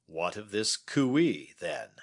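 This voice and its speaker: A man's voice: deep voice, big pitch swings, slow pace, exaggerated voice. A man reading as an earnest Victorian doctor who asks his friend short, curious questions. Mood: questioning. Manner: brief, questioning replies.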